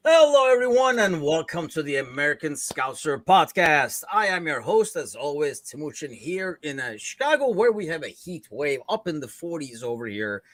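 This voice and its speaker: A man's voice: on the high side, very animated, moderate pace, few pauses, fairly bright tone, slight background noise. A man from Turkey hosting a live football podcast, talking loosely with lots of fillers. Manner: loose and chatty, many fillers. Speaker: podcast host. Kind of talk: casual podcast chat. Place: Chicago.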